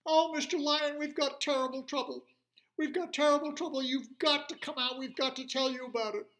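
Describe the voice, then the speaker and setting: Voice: high-pitched, fairly even intonation, brisk, fairly bright tone, pitched above the performer's own voice, poor audio. A storyteller voicing a group of small, anxious forest animals.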